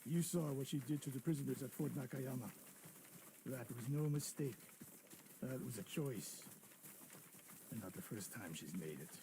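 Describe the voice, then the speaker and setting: A gruff old archery master. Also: male voice, medium pitch, expressive, normal speed, long pauses, noisy background. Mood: stern. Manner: gruff, curt, scolding. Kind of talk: video game cutscene acting. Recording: game audio with loud music behind.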